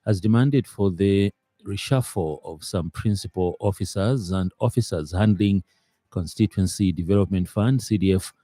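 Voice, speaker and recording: A man's voice: sits low, normal speed, warm tone, dry recording. A man with a Zambian accent reading a scripted radio news bulletin, with occasional hesitations. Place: radio studio.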